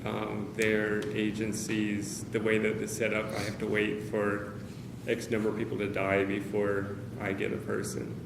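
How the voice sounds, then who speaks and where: Low, gravelly-voiced man pausing often. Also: monotone. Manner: hesitant, many pauses and fillers, candid. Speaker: speaker at a caregivers' meeting. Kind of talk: personal talk to a small group. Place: meeting room with a group of about 45 people. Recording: roomy, noisy meeting-room recording.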